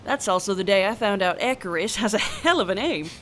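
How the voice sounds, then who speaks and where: A woman's voice: mid-range voice, expressive, fairly quick, a bit bright, slight background noise, natural voice, poor audio. A flirtatious bodyguard, voiced by a woman, chats in a breezy, teasing manner, with a voice that sits in the middle range. She tells her stories with casual swagger in an audio roleplay. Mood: storytelling. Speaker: side character in an audio roleplay.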